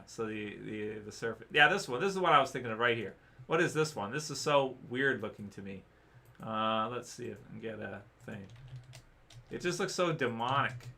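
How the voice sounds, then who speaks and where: Bright-voiced man rambling on a livestream. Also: medium pitch, creaky. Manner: rambling, hesitant, many fillers and restarts. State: losing his voice. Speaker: livestream host answering chat. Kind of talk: casual livestream chat.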